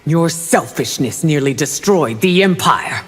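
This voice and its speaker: Low-voiced, imperious empress. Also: female voice, lively intonation, unhurried, husky, heavily acted. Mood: righteous anger. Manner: imperious, formal phrasing. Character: regal stern empress, righteous, disdainful of her enemy. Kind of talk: fighting-game confrontation dialogue. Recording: long hall reverb, music behind.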